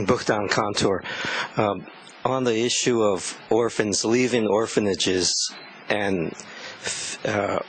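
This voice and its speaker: A man from the audience, asking a panel a question in a deliberate, halting way. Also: fairly low pitch, fairly bright tone, slight background noise, rough recording. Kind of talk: question from the floor.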